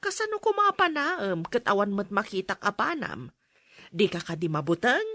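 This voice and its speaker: A woman playing a worried, scolding mother with a husky voice in a Filipino audio drama. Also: medium pitch, very animated, moderate pace, fairly bright tone, no echo, studio quality.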